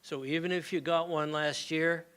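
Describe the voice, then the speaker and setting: A man's voice: fairly high pitch, steady tone, normal speed, fairly bright tone, smooth. Plain-spoken older man at a gathering. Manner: plain, practical, conversational. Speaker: community moment presenter. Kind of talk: informal health talk to a gathering. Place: meeting hall with audience.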